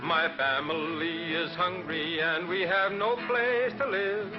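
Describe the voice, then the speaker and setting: A man's voice: fairly high pitch, takes it slowly. A man singing folk ballads as a folk singer. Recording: dull vintage recording with music. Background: musical accompaniment.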